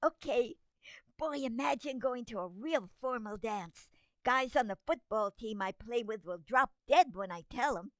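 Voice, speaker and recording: A high, youthful voice from a woman playing a scheming, tomboyish teenage daughter in a radio comedy. She is whiny and theatrically overdramatic, recorded close and dry. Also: lively intonation, measured pace, no echo. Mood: eager, scheming. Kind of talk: radio comedy stage acting.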